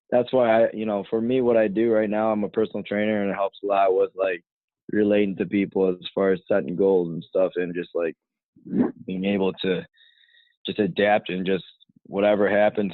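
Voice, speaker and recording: Low flat-voiced young man on a phone line. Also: dry recording. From United States. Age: 20s. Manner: rambling, full of "you know" fillers. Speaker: guest, fighter. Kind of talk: casual podcast interview.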